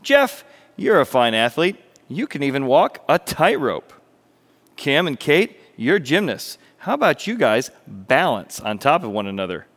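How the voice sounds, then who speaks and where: A man voicing a bombastic, demanding young circus ringmaster in a theatrical storybook telling, with booming proclamations and barked orders. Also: very animated, a little slow, fairly bright tone. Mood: eager. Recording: echoey hall with long reverb.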